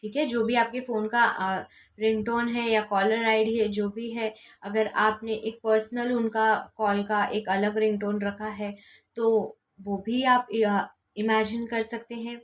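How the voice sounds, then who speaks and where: A woman's voice: fairly high pitch, little pitch movement, measured pace. An Indian woman giving instructional how-to talk as a coach, repeating key steps and mixing in English words. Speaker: law of attraction coach.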